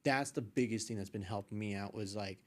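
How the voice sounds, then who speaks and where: A man's voice: on the low side, fairly quick. Casual, meandering man telling his story. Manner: informal, slangy, meandering. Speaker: podcast guest.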